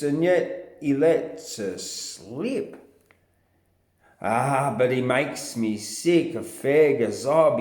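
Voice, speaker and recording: An Australian man giving a theatrical, drawn-out recitation of comic vernacular verse in character as a larrikin. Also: medium pitch, darkish tone. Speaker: poetry reader. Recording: roomy, echoing sound.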